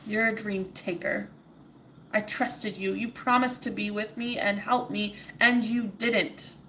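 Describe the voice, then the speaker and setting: A woman reading aloud and voicing a weary, desperate male wanderer who complains loudly. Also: mid-range voice, fairly even intonation, a little slow, slight background noise, rough recording. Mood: exasperated. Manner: loud, exasperated complaining.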